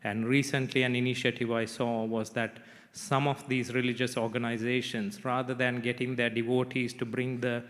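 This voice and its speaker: A man from Sri Lanka moderating a panel discussion, measured, with frequent "you know" fillers. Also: mid-range voice, level tone, fairly bright tone, big-room sound. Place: conference hall.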